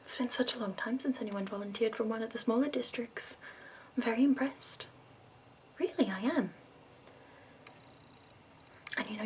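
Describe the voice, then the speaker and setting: A woman in her twenties voicing a prim, fussy, upbeat woman makeup escort from the capital, in a soft whispered ASMR roleplay. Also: a little high, a little hiss or hum, close to an everyday voice.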